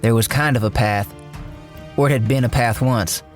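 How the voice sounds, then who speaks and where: An actor playing a cocky, bossy small-time crook with a folksy, sardonic, slangy way of talking in a radio crime drama. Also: male voice, medium pitch, normal speed, soft background music, natural voice. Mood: wry, uneasy recounting. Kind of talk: radio crime drama acting, first-person narration.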